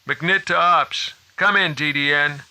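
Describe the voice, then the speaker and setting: A man's voice: fairly high pitch, a little slow, pushed and bright, smooth, voice pushed up, heavily acted. A man voicing a flustered male security guard with slangy, plain working-man talk, in an audiobook. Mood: flustered, defensive.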